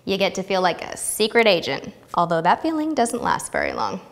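A woman's voice: medium pitch, wildly swooping pitch, a little fast, long reverb tail. An American woman in her thirties presenting scripted tips to camera in a light-hearted, playful way on a lapel mic. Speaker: host of a travel tips video series.

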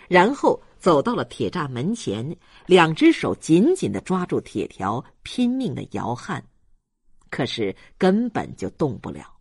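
A man reading a novel aloud as a dramatic, deliberate audiobook narrator. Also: a little high, husky, dry recording, clean studio sound.

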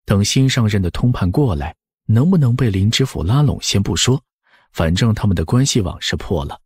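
Male audiobook narrator, very raspy low register, lively intonation. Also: brisk, dry recording, studio quality. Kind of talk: scripted novel narration.

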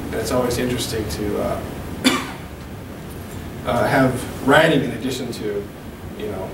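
A man in his thirties reading poems at an open mic into a handheld mic, slow and deliberate, with casual asides between poems. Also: mid-range voice, vocal fry. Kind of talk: poetry reading. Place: small room at a podium. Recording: echoey room, camera from far off, hum.

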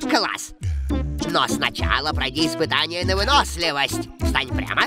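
A scheming, excitable cartoon man, coaxing and theatrical. His pitch swoops wildly from word to word. Music plays behind him. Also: a little slow. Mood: instructing. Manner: wheedling, theatrical, exclamatory. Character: scheming, excitable man trying to cash in on a bear. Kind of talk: animated cartoon dubbing.

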